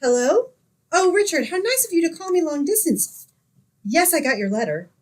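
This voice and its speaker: A woman's voice: extremely high pitch, very animated, moderate pace. Woman doing a fed-up sensible roommate, old radio comedy, voice swooping all over, slight noise. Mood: frantic, exasperated. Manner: dramatic, wry, exasperated. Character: sensible, exasperated young woman, long-suffering roommate and narrator.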